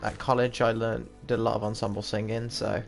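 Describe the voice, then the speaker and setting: A man's voice: lowish voice, level tone, fairly quick, gravelly. A man in his twenties with a British accent, chatting casually and rambling while he plays a game, often laughing. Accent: British English. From England. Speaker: gaming streamer. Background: game music.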